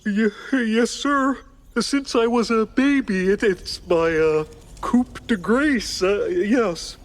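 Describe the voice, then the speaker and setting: A man voicing a timid, jittery cartoon high-wire performer, polite and halting, with a nervous stammer. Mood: nervous, apologetic. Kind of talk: cartoon game voice acting.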